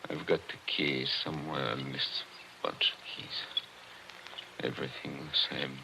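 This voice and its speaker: An actor playing a lonely attorney haunted by an old death, speaking in a measured, wistful, formal way in a 1940s radio drama. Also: male voice, a bass voice, little pitch movement, creaky, sharp tone, full character voice. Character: lonely older attorney haunted by the past, genteel. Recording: muffled old radio broadcast with faint hiss.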